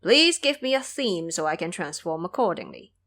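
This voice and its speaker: A woman's voice: fairly low pitch, big pitch swings, moderate pace, a bit bright, voice pushed down, full character voice. A woman voicing a boastful, foolish male pig monk with a brash, bragging manner in an audio drama.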